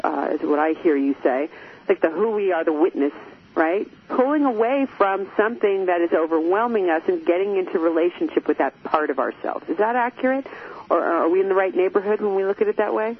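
A man's voice: sits high, lively intonation, fairly quick. A man hosting a radio interview who affirms his guest, thinks aloud and checks that he has understood. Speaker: radio show host. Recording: tinny phone-line sound with faint hiss.

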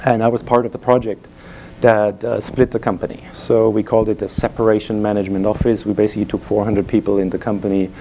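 A man's voice: lowish voice, level tone, quick pace, warm tone, slight background noise. A middle-aged man from Germany with a German accent, speaking casually onstage to a live audience as guest speaker, with many "you know" fillers. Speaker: guest speaker, sales executive. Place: event room before a live audience.

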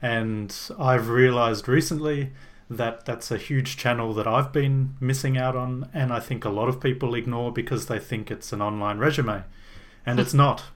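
A man's voice: medium pitch, steady tone, normal speed. A young man hosting a podcast, upbeat and chatty as he introduces the show and questions his guest. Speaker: podcast host.

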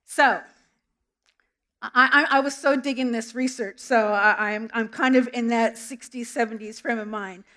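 Woman, middle-aged, from Southern California; pastor-style sermon voice, earnest storyteller, fairly high pitch. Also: fairly even intonation, moderate pace, fairly bright tone. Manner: earnest, storytelling, with small restarts. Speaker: preacher. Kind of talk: sermon with prayer. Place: church sanctuary.

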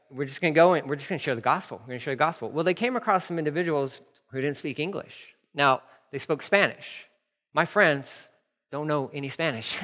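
A male pastor telling stories in a sermon, acting out the dialogue with lively shifts in voice. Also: a little high. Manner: animated, acts out quoted dialogue. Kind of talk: sermon storytelling. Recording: long hall reverb.

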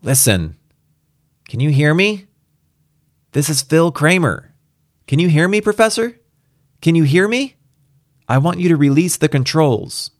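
Man acting an urgent, strained spaceship crewman, pitched up. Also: very animated, a little slow, long pauses, dry recording, clean studio sound. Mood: urgent, pleading. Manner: insistent, strained, emphatic. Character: urgent younger man, spaceship crewman pleading with old mentor.

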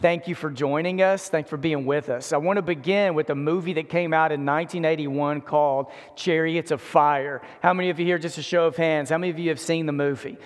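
High-voiced male preacher in an echoing hall. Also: fairly even intonation, fairly quick, hardly any pauses. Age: 40s. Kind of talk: conversational sermon. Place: large indoor room before an in-person audience. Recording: echoey hall with long reverb.

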